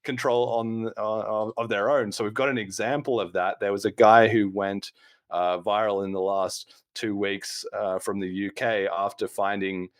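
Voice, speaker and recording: A man's voice: on the low side, little pitch movement, normal speed, no room sound, studio quality. A man hosting a podcast, talking at length in a casual, explanatory way. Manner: talkative, explains at length, laughs now and then. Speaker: podcast host.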